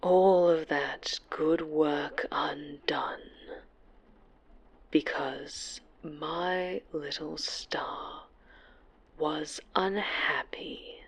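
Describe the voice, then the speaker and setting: An actress plays a possessive superhero villainess in an intimate horror ASMR roleplay. Her voice sits a little low and moves very slowly, sliding into breathy whispers. She taunts with a mock sweetness that stays menacing. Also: full character voice.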